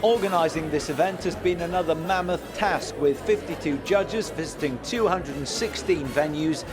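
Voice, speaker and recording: Man, slightly high pitch, scripted narration, clear music bed. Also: normal speed, a crowd in the background.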